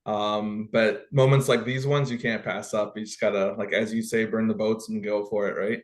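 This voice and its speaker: A man from Guatemala, a football coach, talking in an interview in a humble, conversational way with many pauses and restarts. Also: lowish voice, flat delivery. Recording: roomy echo.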